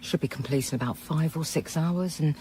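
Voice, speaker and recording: An actress playing a measured, frank female scientist in a radio drama. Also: sits low, dark tone, slight background noise, close to an everyday voice, rough recording. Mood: measured, cautious.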